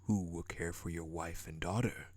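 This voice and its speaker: A man's voice: low voice, steady tone, moderate pace, darkish tone, no echo. A man playing a haughty, power-hungry prince in a dramatic audio roleplay, with a contemptuous, menacing and formal delivery. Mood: menacing contempt. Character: cold, haughty, power-hungry prince who scorns weakness.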